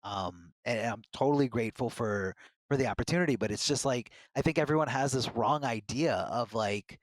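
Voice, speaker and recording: A man with a middling pitch talks earnestly and candidly, telling stories in a relaxed podcast chat. The sound is dry and close, with no room echo. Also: moderate pace. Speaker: fighting game commentator, podcast guest. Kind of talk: casual podcast conversation.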